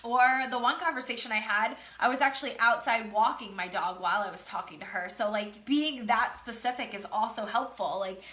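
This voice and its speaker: A woman's voice: on the high side, a little fast, hardly any pauses, sharp tone. A woman business coach giving a casual, emphatic motivational talk, slightly nasal and congested from a cold, repeating her key phrases.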